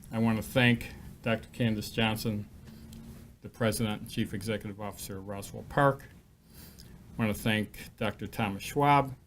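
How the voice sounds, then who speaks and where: A man's voice: fairly low pitch, a bit bright, slight background noise. A man, a hospital executive, giving a formal thank-you speech at a press event and listing names with some hesitant fillers. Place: event hall at a live press announcement.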